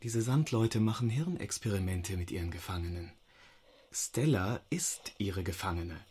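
A curt, impatient mission leader in a sci-fi radio play. His husky voice moves up and down animatedly as he gives orders. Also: low-pitched, animated, normal speed, a little mellow. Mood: urgent, controlled. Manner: curt, commanding. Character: commanding, impatient male agent leading a cyber rescue mission. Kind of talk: sci-fi radio drama acting.

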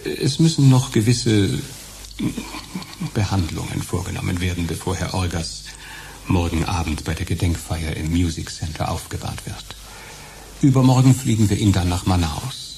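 An actor playing an unctuous, evasive funeral director who talks in pompous, stilted formalities in a radio play. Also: male voice, deep voice, lively intonation, normal speed, creaky, dark tone, slight background noise.

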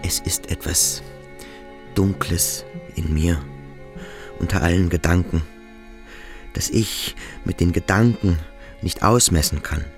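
An actor playing an introspective adolescent boarding school pupil in a radio play, halting and searching with quiet intensity. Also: male voice, low-pitched, soft background music. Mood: brooding, searching. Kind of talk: German radio play monologue.

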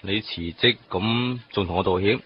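Actor as a kindly gruff boss, low voice, drawls and teases, lo-fi muffled sound. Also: male voice, a little hiss or hum, lightly acted. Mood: teasing. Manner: dry, teasing, slow. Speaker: the hero's boss. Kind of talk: Cantonese radio drama acting. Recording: dull lo-fi radio recording.

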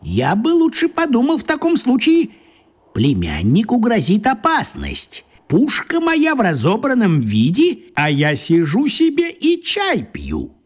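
An actor playing a small, crafty old wizard in a children's radio play, talking in a coaxing, folksy way with a husky voice. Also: male voice, very high voice, huge pitch swings, unhurried, heavily acted.

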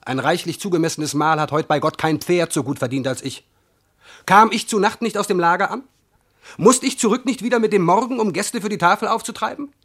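An actor playing a gruff, greedy, domineering servant in a classical verse radio comedy, blunt and scornful in manner. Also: male voice, sits high, a little fast, fairly bright tone. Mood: grumbling, smug. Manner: scolding, blunt, theatrical.

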